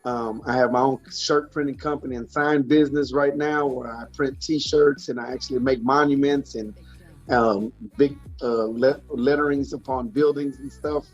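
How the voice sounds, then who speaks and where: A man introducing himself in a relaxed, hesitant way with many ums. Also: mid-range voice, normal speed, soft background music. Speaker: guest, DJ. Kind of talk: casual interview chat. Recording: dull lo-fi sound.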